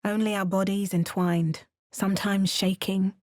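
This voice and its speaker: A woman voicing a fantasy heroine narrating her memories in the first person, in a quiet storytelling delivery. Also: mid-range voice, flat delivery, unhurried, dry recording, clean studio sound, close to an everyday voice. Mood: reflective. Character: heroine recalling visions and memories. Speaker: player character narrating memories.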